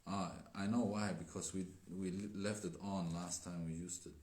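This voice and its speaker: Man, very deep voice, non-native English, halting casual livestream chat, echoey room. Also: steady tone, darkish tone. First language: not English. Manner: halting, filler-heavy, laughs often. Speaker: Daoist teacher. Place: indoor room with tea table. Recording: echoey room, faint noise.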